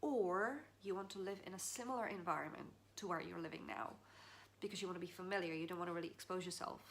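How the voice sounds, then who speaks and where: A woman's voice: lowish voice, creaky, fairly bright tone, a little hiss or hum. Woman from Czechia giving chatty advice. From Czech Republic. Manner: chatty, earnest, with small laughs and restarts. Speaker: vlogger. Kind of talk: casual heart-to-heart advice.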